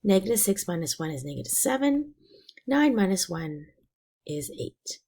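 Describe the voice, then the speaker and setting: A woman teacher explaining a math lesson step by step, her voice raspy and hoarse from being sick. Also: mid-range voice, big pitch swings, moderate pace, warm tone. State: hoarse from illness.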